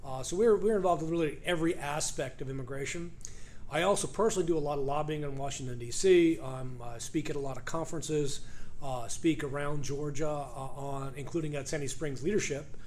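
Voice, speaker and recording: Middle-aged guy, new york accent, talks fast with lots of uhs, kinda high voice, faint room hum. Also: darkish tone. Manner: fast-talking, lots of uh fillers. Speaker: immigration lawyer, interview guest. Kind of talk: casual interview. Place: conference room.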